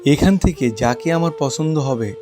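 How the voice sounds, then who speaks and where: A man playing a calm, fair-minded king in a fairy-tale cartoon, with music behind him. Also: fairly high pitch, moderate pace, lightly acted. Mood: composed, assured. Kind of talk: dramatised cartoon storytelling.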